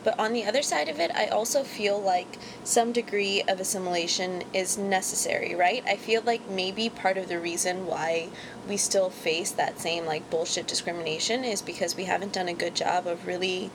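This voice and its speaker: A woman's voice: fairly even intonation, moderate pace. A woman born in Pakistan, co-hosting a podcast and talking candidly and casually, often with a laugh. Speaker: podcast co-host. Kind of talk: casual conversation. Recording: noisy amateur recording with rumble.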